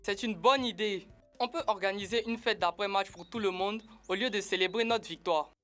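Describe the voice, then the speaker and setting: A woman plays a peacemaking child in a children's cartoon. The voice sits at an average pitch and sounds kind and sincere, with light music behind. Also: moderate pace, a bit bright, natural voice. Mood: warm, eager. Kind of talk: educational cartoon dub.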